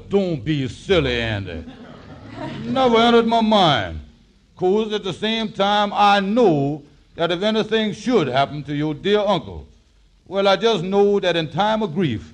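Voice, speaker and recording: Fast-talking schemer for 1940s radio comedy: high pitch, very animated, folksy, sly. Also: male voice. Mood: smooth flattery. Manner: blustering, wheedling, folksy ungrammatical speech. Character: scheming, fast-talking male lodge boss and con man. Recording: vintage 1940s radio broadcast.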